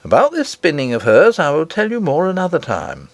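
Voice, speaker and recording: A man reading a children's storybook aloud as expressive scripted narration. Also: a little high, brisk, no room sound. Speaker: audiobook reader.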